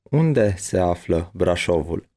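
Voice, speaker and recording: A man reading his part of a bilingual language-lesson dialogue with careful, deliberate enunciation. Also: low voice, animated, warm tone.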